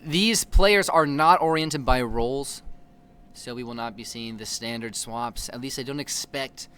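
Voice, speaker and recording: A man's voice: medium pitch, measured pace, sharp tone, clear, resonant tone. A young man casting a video game tournament in a playful, joking way, hyping up the chat. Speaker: esports caster. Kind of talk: casual play-by-play commentary. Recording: faint background noise.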